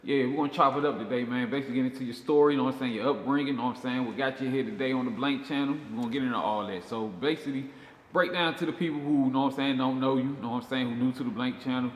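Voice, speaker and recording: Quick-talking Southern male host, echoey room. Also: mid-range voice. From Georgia, USA. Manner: slangy, often repeats "you know what I'm saying". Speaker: show host, interviewer. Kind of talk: casual interview chat. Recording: echoey reverberant room, faint noise.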